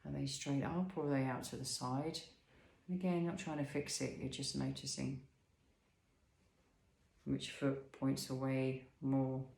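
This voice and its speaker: A man leading a guided body scan as an instructor, speaking in a soothing, unhurried way with pauses and gentle questions. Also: mid-range voice, flat delivery, vocal fry, roomy sound, low-quality recording.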